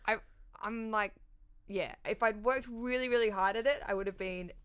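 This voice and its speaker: A woman's voice: medium pitch, moderate pace, fairly bright tone, clean tone. A woman in her thirties from Sydney with an Australian accent, a comedian guest talking casually and self-deprecatingly into a studio stand mic. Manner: self-deprecating, laughs at own jokes. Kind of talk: casual interview chat. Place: dark studio set, seated in armchair. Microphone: studio condenser mic on stand.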